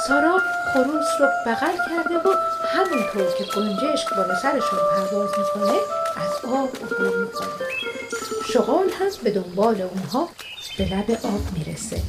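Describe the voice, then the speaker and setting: A woman's voice: a little high, expressive, close to an everyday voice. A woman playing the storyteller, giving scripted narration of a children's radio adventure. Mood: vivid storytelling. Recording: loud music and birdsong behind.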